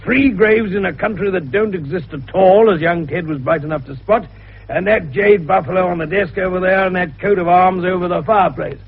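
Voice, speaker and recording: Shrewd, expansive older British amateur detective, 1950s radio drama; husky, gravelly, avuncular, on a muffled recording. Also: male voice, high voice, fairly quick, exaggerated voice. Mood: puffing, expansive. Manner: avuncular, folksy, expansive, teasing. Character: jovial, shrewd, wheezy older amateur detective doctor, male. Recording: dull vintage radio recording.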